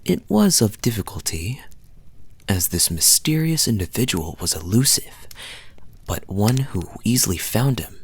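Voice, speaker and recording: A man playing a charming, teasing young fantasy knight in an intimate audio roleplay, telling stories to the listener in a soft, breathy voice. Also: on the low side, very animated, heavily acted. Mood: hushed storytelling. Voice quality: soft, husky, breathy at times. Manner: smooth-talking, teasing, unhurried storyteller with dramatic pauses.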